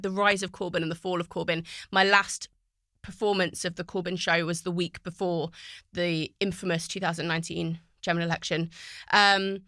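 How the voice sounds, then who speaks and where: Crisp, bright-voiced woman guest. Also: medium pitch, dry recording, clean studio sound. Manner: fluent, reflective storytelling. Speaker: podcast guest, poet and TV writer. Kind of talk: casual podcast conversation. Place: recording studio.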